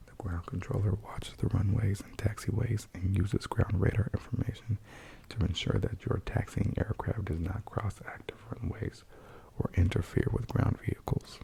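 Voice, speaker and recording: A man whispering and speaking softly as he reads aloud for ASMR, hushed and gentle, with a breathy sound. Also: fairly low pitch, steady tone, moderate pace. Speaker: ASMR host. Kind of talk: ASMR, whispered and soft-spoken article reading.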